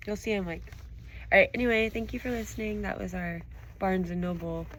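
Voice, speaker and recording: A woman's voice: mid-range voice, brisk, fairly bright tone, a little hiss or hum, poor audio. A woman reading stories aloud expressively and chatting casually about them. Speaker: audiobook reader and show host. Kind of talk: expressive storybook narration, then casual chat with a child listener.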